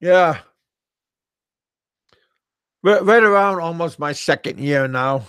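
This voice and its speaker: A man from Alaska hosts a relaxed livestream chat and talks slowly. He stumbles and repeats himself as he answers viewers, in a slightly raised voice. The sound is dry and close. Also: a little high, clean tone, no room sound. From Alaska, USA. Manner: halting, repeats words and restarts often. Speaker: livestream host. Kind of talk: casual live-chat talk reading viewer comments.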